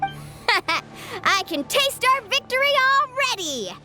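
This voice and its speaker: A woman voicing an excitable, cocky young girl adventurer in a video game, exuberant and full of exclamations. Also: very high-pitched, slow pace, heavily acted. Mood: excited. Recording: game music behind.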